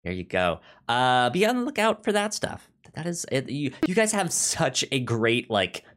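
A man co-hosting a podcast in casual chat, animated, with halting, repetitive phrasing. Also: medium pitch. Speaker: podcast co-host.

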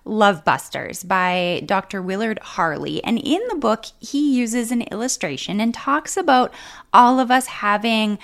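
A woman co-hosting a faith-based marriage podcast, speaking in a measured, unhurried way. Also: medium pitch, lively intonation, vocal fry. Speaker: podcast co-host.

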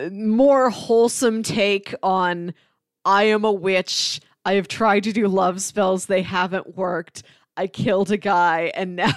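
A woman speaks as a podcast co-host in casual film conversation. Her pitch is middling and the sound is very dry, without room echo. The delivery is unscripted and conversational. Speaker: podcast co-host reviewing films.

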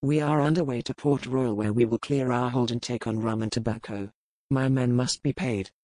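A stern, brooding pirate captain, as a man reads him in measured, formal old-style speech. The tone stays cold and deliberate at a middling pitch. It is recorded close and dry, with no room sound at all. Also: fairly even intonation, darkish tone, dry recording, close to an everyday voice. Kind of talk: audiobook character reading.

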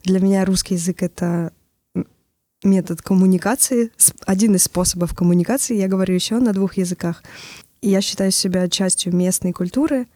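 A woman's voice: medium pitch, fairly quick, warm tone, no echo. A woman from Estonia hosting a podcast, speaking earnestly and a little hesitantly, asking open questions. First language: Russian. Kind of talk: podcast conversation.

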